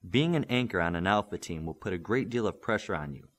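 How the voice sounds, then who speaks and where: Man voicing a male hero mentor, low pitch, patient, firm, instructive, expressive audiobook delivery. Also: quick pace. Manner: instructive, steady coaching.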